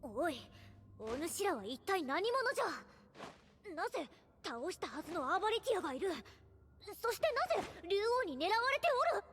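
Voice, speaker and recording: A woman's voice: extremely high pitch, sing-song, moderate pace, a bit bright, a little hiss or hum, heavily acted. A woman voicing a haughty, feisty girl with archaic old-timer speech for an anime game, over music. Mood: alarmed, demanding. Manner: imperious, archaic elderly-style speech. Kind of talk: Japanese anime game voice acting. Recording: music behind the voice.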